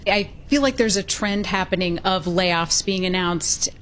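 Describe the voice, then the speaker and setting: A woman's voice: fairly low pitch, measured pace. A woman asking inquisitive podcast interview questions.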